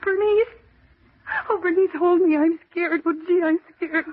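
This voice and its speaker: A woman's voice: very high voice, steady tone, measured pace. An actress in an old-time radio thriller playing a terrified, helpless young woman near hysteria, pleading and repeating her phrases. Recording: dull, noisy old radio broadcast.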